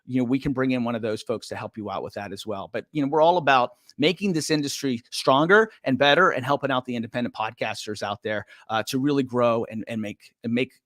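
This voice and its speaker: A man's voice: medium pitch, quick pace, few pauses, fairly bright tone, dry recording, studio quality. An older man hosting a live-stream podcast, upbeat and chatty, joking at his own expense. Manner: upbeat, chatty, self-deprecating. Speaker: podcast host.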